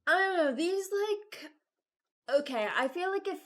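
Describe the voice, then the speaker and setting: A woman's voice: very high-pitched, expressive, a little slow, a bit bright. A young adult woman chatting casually as a video creator, rambling and hesitant as she thinks aloud. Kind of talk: casual reaction chat.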